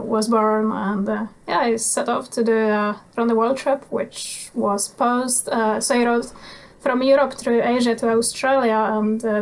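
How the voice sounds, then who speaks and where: A woman in her twenties from Poland with a Polish accent, chatting as an interview guest in a laid-back, nonchalant way, pausing often with fillers. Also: fairly high pitch, poor audio. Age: 20s. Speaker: guest motorcycle traveller. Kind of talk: casual interview chat.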